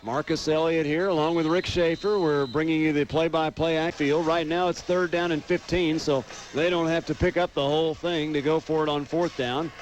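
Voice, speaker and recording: A man's voice: fairly high pitch, steady tone, moderate pace, lo-fi sound, slight background noise. An American man doing live radio play-by-play commentary of a football game.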